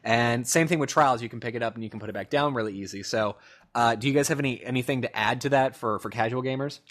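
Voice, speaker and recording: A man hosting a casual gaming podcast, telling stories with animated asides. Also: medium pitch. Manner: animated storyteller, quick asides. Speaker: podcast host. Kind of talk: casual chat.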